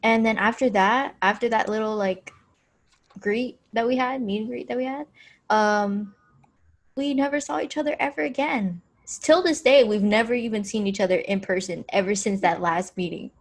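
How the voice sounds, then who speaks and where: A woman in her early twenties from Hawaii, co-hosting a podcast in a relaxed, chatty way and laughing often. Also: on the high side, moderate pace. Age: 20s. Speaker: podcast co-host. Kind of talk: casual chat.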